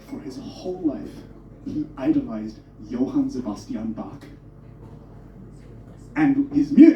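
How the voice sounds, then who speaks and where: High, animated man doing stand-up. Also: roomy sound, recorded from a distance, lo-fi sound, slight background noise. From Germany. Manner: energetic, swearing, self-mocking. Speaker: stand-up comedian. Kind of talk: stand-up comedy set. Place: comedy club stage.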